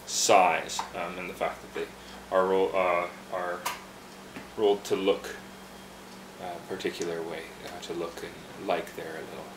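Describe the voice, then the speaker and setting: Guy behind a tea bar walking you thru brewing, lowish voice, kinda echoey room with noise going on. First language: English. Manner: relaxed, chatty, many fillers and restarts. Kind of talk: casual instructional demonstration.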